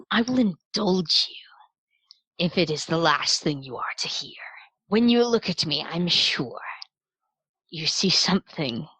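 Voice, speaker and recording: Woman playing a slow, disdainful androgynous villain mastermind. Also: sits low, sharp tone, husky, no echo, exaggerated voice, poor audio. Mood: haughty, menacing. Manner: slow deliberate pauses, disdainful monologuing. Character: androgynous half-elf villain mastermind, proud, bitter, theatrical.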